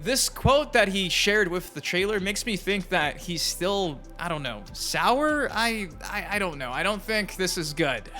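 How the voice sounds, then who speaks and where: A man's voice: high-pitched, expressive, a little slow, few pauses, pushed and bright. A man giving casual, chatty gaming news commentary as a YouTube host, speaking informally with emphatic repetition. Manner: chatty, informal, emphatic, repeats words for stress. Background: background music.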